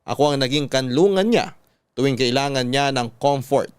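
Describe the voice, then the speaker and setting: A man with a Filipino accent reading a scripted first-person story in a measured, dramatic storytelling manner. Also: medium pitch, smooth, no echo. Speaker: radio drama narrator.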